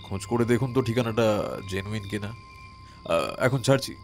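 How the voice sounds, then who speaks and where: A man acting as a private detective with a fairly low voice, set over clear background music. He is composed and deliberate, questioning politely but with authority, in the style of a radio mystery drama. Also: lightly acted. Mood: businesslike. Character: composed, probing male private detective on a case.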